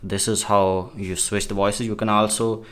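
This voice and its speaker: Low-voiced man reviewing a gadget. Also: little pitch movement, normal speed, a bit bright. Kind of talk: casual product demo.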